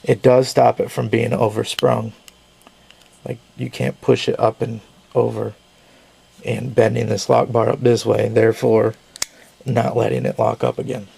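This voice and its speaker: A man with a deeply gravelly, fry-laden voice talks through a knife review in a loose, rambling way, often correcting himself mid-sentence. He sounds a little under the weather, as if losing his voice, and keeps a plain, unpolished delivery. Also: flat delivery, measured pace, mellow, a little hiss or hum. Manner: rambling, self-correcting explanations. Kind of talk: casual product review.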